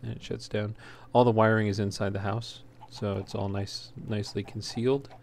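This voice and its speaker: A man's voice: on the low side, little pitch movement, moderate pace, a little mellow. A man giving casual, unscripted gameplay commentary as a let's play host, talking in an easygoing, hesitant ramble. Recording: clearly noisy home recording.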